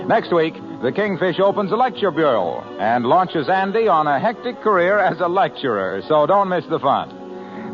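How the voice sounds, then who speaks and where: Lively 1940s radio announcer, man. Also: on the high side. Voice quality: smooth, persuasive, lively delivery. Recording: muffled old radio broadcast.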